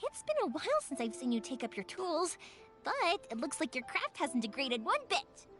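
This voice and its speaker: A woman voicing a cheerful, chatty, excitable young fireworks maker in an anime-style video game. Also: high voice, moderate pace. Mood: cheerful, impressed. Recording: music behind the voice.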